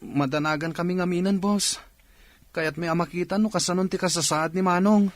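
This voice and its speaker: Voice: mid-range voice, fairly even intonation, a little fast, dry recording, close to an everyday voice. Actor as worried, pleading Filipino worker, dry sound. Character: worried male employee pleading to leave work. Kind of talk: radio drama acting.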